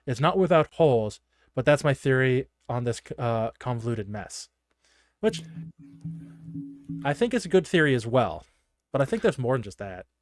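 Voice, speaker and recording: A man's voice: medium pitch, big pitch swings, normal speed, pauses often, no room sound, studio quality, soft background music. A man co-hosting a casual, chatty discussion show, given to giggly laughter. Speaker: podcast co-host. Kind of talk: casual discussion of a horror anime episode.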